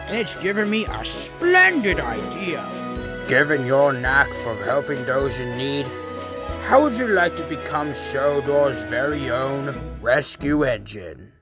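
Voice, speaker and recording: Kindly, formal railway controller for a children's audio drama, male actor: swooping, stately delivery, medium pitch. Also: big pitch swings, measured pace. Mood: approving, pleased. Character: pompous, approving male railway boss, kindly authority. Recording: prominent music behind the voice.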